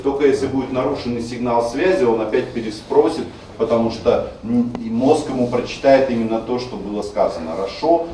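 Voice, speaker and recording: A man's voice: mid-range voice, mellow. A man teaching a class, explaining pronunciation rules and sounding words out to demonstrate. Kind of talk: screencast lecture on Russian stress. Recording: very echoey room, distant mic, faint noise.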